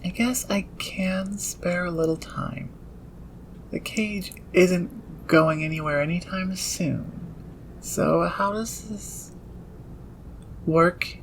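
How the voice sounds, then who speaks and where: Someone acting nerdy inventor thief, precise n awkward, creaky voice, background ambience noise in an audio drama. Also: mid-range voice, poor audio. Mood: guarded.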